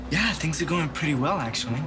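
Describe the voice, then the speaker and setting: Actor as brisk, assertive male activist. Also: fairly high pitch, expressive, loud music behind the voice, lightly acted, rough recording. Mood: insistent, confident. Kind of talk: film dialogue.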